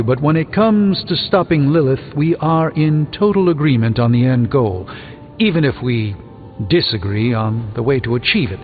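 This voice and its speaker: An actor playing a proud, erudite sorcerer hero in a video game, speaking in an articulate, theatrical and pompous way. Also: male voice, fairly high pitch. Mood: wry, assured. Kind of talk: video game character dialogue. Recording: phone-like band-limited sound under music. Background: music.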